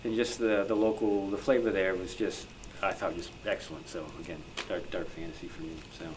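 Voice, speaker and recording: A man with a lowish voice gives unscripted answers on a hobby club panel. He is halting and often doubles back on his phrases. The recording carries some faint noise. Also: steady tone. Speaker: panelist, old-time radio researcher. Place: club meeting room. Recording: noisy live meeting room recording.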